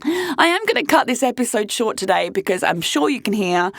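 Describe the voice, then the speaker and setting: A woman in her late thirties hosting her own podcast, talking candidly and emphatically in a rambling way, her voice hoarse. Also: fairly high pitch, sing-song, brisk, a bit bright. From United Kingdom. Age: late 30s. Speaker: podcast host. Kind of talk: casual monologue. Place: podcast studio.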